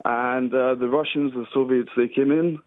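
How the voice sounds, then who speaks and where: A man with an Ayrshire Scottish accent calling in to a radio show, earnest and hesitant, pausing often. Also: medium pitch, steady tone, dry recording. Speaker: radio caller. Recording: tinny dry phone line.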